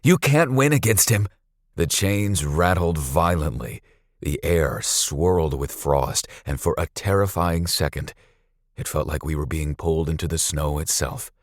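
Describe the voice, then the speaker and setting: A man narrating a scripted story dramatically, with a suspenseful, deliberate delivery. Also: very deep voice, dry recording, clean studio sound.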